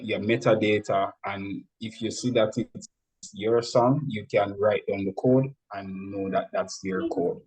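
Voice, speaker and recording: Male interview guest, unhurried explainer, slightly deep register, measured and instructive delivery. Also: darkish tone, rough recording. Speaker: interview guest, music industry expert.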